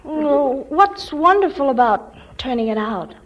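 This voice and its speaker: A coy young sweetheart from 1940s radio comedy. The voice is very high and innocent, on a dull old broadcast recording. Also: female voice, expressive, a little slow.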